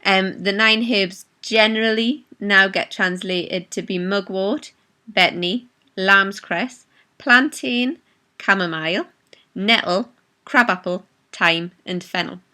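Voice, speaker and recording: A woman's voice: medium pitch, slow, pushed and bright. A woman casually introducing her video, chatty with many ums and restarts. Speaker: vlogger.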